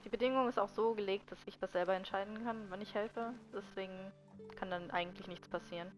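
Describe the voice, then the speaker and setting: Woman musing over clear game music. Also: mid-range voice, steady tone, fast talker, low-quality recording. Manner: musing, thinking aloud. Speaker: game streamer. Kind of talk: casual let's play commentary.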